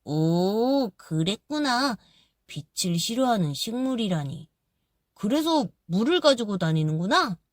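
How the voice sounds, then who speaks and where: Woman playing a kind tree, calm and a bit lower, dry sound. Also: a little slow, no echo. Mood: gentle. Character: kind, gentle, curious tree.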